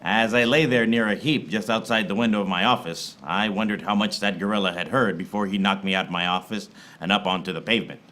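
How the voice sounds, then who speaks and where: A man's voice: lowish voice, fast, few pauses. A man playing a wry, weary hard-boiled detective, narrating a film noir radio comedy on stage. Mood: wry, brooding. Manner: deadpan wordplay, theatrical. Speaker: lead actor in live comedy radio serial. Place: small theater stage, live audience. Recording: noisy live stage recording with clicks.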